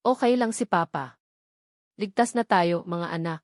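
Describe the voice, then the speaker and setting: A woman's voice: on the low side, a little slow, no room sound, studio quality, natural voice. A woman with a Filipino accent voicing a devoted, earnest man in love for a romance story. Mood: reassuring.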